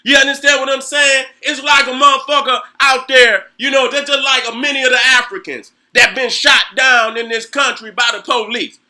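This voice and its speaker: Fiery, profane high-voiced man ranting. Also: fairly even intonation, normal speed, hardly any pauses, sharp tone. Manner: fiery, profane, rhetorical, repeats "you know what I'm saying". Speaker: host. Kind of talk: heated profane monologue.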